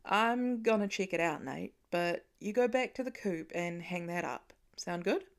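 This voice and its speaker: A woman's voice: lowish voice, animated, unhurried. A woman voicing a protective middle-aged father with a wry, urgent manner, in a story reading. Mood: wry, casual. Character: protective middle-aged father, gruff then frantic with worry.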